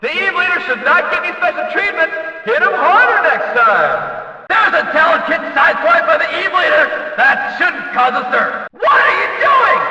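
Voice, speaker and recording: High-pitched, echoing race announcer. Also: male voice, bright, forceful tone, full character voice. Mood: mocking, scolding. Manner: brash, taunting, exclamatory. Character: brash, mocking, excitable male demolition race announcer. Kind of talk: over-the-top arcade racing game commentary. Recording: distant, echoing arena sound with crowd.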